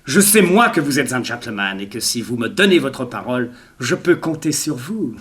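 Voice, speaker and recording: An actor playing a smooth, gloating blackmailer and murderer in a theatrical 1960s French radio serial, mock-courteous and insistent. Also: male voice, mid-range voice, big pitch swings, moderate pace, a bit bright. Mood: silky persuasion.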